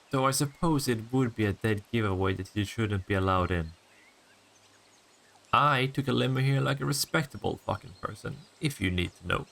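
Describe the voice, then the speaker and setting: Audio drama vampire, played by a man: male, smug and sardonic flirt, creaky gravel in a middle register. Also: mid-range voice, a bit bright. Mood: sardonic banter. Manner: teasing, sardonic, swearing banter. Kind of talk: scripted roleplay audio drama.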